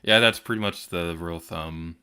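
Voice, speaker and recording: Deep-voiced soft-spoken young man. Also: brisk, clean tone. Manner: soft-spoken, hesitant with many ums. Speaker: streamer. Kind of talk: casual stream chat.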